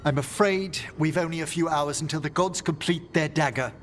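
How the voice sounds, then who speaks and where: A man's voice: fairly high pitch, moderate pace, fairly bright tone, a little hiss or hum, close to an everyday voice. A man playing a courteous, composed scholar mage in a video game, speaking formally and articulately. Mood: grave. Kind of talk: video game cutscene acting.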